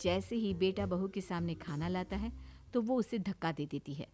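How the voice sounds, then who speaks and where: A woman's voice: on the low side, expressive, moderate pace, mellow, faint music behind. A woman storyteller narrating a scripted comic tale.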